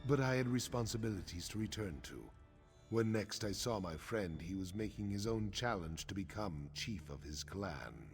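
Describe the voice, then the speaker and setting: An actor playing a grave, honorable middle-aged orc warrior chief in a video game, speaking in a solemn, measured, frank way. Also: male voice, lowish voice, animated. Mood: somber, reminiscing. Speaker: quest-giving game character. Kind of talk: scripted video game dialogue. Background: game music.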